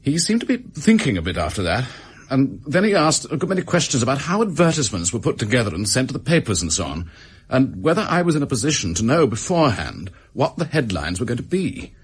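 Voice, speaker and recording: An actor with an English accent playing a desperate, bitter office worker making a halting confession in a radio drama. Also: male voice, medium pitch, big pitch swings, breathy edge. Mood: uneasy, confiding.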